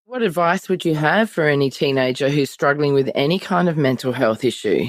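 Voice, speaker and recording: A woman's voice: low voice, animated, fairly quick, no echo, studio quality. A woman interviewing a podcast guest in a supportive, affirming, conversational way.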